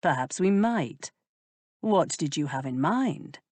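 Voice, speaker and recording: A woman voicing a teasing aristocratic male earl who speaks in an unhurried, amused drawl. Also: deep voice, expressive, darkish tone, no room sound, exaggerated voice.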